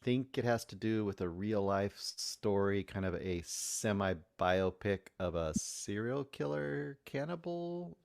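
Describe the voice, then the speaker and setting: A man's voice: lowish voice, lively intonation, unhurried, gravelly, few pauses, darkish tone, clean tone, no room sound. A man co-hosting a movie podcast over a video call, chatting casually with dry, understated humor. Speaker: podcast co-host.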